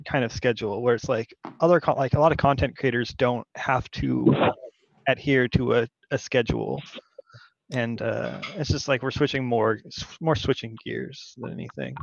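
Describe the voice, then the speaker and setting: A man's voice: medium pitch, animated, normal speed, gravelly, no room sound, dull audio. A man in a casual chat, explaining in loose sentences with many fillers. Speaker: co-host.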